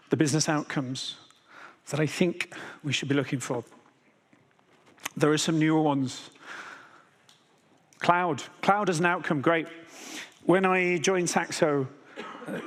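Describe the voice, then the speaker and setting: British man in his fifties, keynote speaker, measured delivery with many fillers, slightly high voice. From Britain. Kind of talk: conference keynote talk. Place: large conference hall. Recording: hall reverb.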